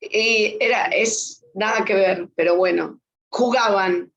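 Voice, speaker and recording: A woman's voice: medium pitch, takes it slowly, vocal fry. A woman with an Argentine accent teaching a class spontaneously, prompting people with questions. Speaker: course teacher. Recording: dull online class audio.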